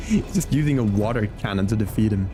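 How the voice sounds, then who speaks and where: A man in his twenties streaming a video game, chatting casually and relaxed with viewers. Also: on the low side, moderate pace, music in the background. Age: 20s. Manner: chatty, relaxed, chats with viewers while playing.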